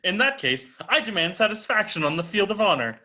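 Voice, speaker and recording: A man's voice: high-pitched, sing-song, fairly quick, pushed and bright, exaggerated voice. Man playing a lilting, dryly witty aristocratic count. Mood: dryly haughty. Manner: formal, dryly flippant, eloquent. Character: witty, unflappable aristocratic Russian count on trial. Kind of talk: amateur dramatic reading. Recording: dull, muffled lo-fi recording.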